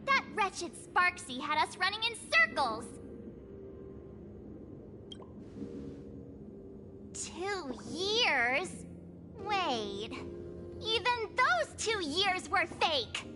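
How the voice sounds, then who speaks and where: A woman's voice: very high-pitched, very animated, slow pace, exaggerated voice. A woman playing a bubbly, scatterbrained teenage schoolgirl adventurer in a video game, with a hesitant, exclamatory delivery. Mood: exasperated. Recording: game music behind the voice.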